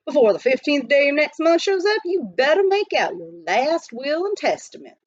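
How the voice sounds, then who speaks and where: A woman voicing an excitable, blustery old-time prospector man with a folksy frontier drawl in a children's storybook. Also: high-pitched, normal speed, no echo, muffled recording, pitched above the performer's own voice. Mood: incredulous alarm.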